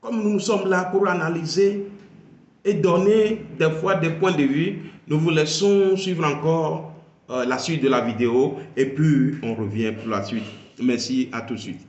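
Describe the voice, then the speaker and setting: A man analysing a video, speaking slowly and emphatically and repeating key phrases. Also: on the high side, darkish tone, reverberant, dull audio. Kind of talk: political commentary.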